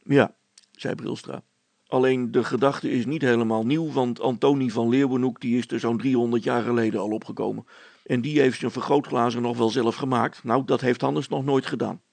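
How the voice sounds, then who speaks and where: Man playing a gravelly, stolid, blunt handyman. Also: medium pitch, steady tone, moderate pace, darkish tone, dry recording, pitched below the performer's own voice. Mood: dry. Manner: plain-spoken, dry, stubborn. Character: stolid, blunt, unflappable handyman, grumbling adult male.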